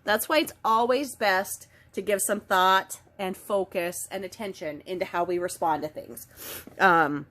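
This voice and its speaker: Stuffed-up woman giving a gentle card reading. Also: medium pitch, a little slow, a little hiss or hum. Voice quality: nasal. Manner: chatty, gentle, with frequent ums and self-corrections. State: stuffed up with a head cold. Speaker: oracle card reader. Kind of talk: casual spiritual card reading.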